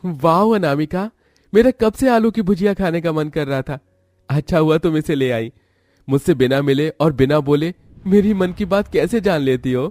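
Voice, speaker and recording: Man playing earnest young suitor, lifted pitch. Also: normal speed, a little mellow, no room sound, studio quality, lightly acted. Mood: delighted. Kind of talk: Hindi cartoon story voice acting.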